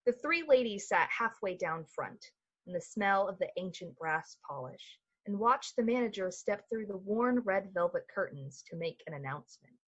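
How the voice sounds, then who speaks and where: A woman with a breathy voice delivering scripted, dramatic narration for a suspense radio play. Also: medium pitch, expressive, moderate pace, muffled recording. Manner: dramatic, literary storytelling.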